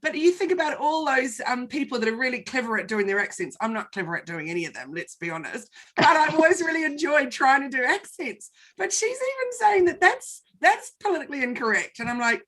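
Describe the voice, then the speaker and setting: A woman in her forties, a guest in a conversation, telling personal stories in an animated, expressive way. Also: high voice, fairly quick.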